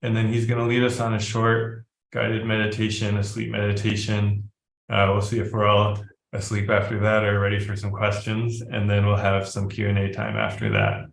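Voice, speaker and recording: Male webinar host, monotone and lowish, casual, in a roomy echoey space. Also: moderate pace, gravelly. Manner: relaxed, filler-heavy talk. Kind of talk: casual introduction.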